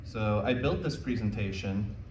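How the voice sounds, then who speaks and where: Low-voiced American man presenting in a roomy space. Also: normal speed, highs cut off. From United States. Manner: casual, self-deprecating, jokey asides. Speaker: convention panel presenter. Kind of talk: informal presentation to a live audience. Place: indoor convention panel room. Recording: roomy, distant mic, faint noise.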